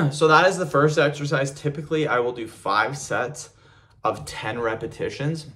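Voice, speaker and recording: A man's voice: medium pitch, normal speed, sharp tone. A young man giving a casual home vlog with a loose, chatty manner, full of ums and repeated words, filmed by the camera in front of him in a small home gym. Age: young adult. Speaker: fitness vlogger. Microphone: camera mic in front of him, head and shoulders in frame.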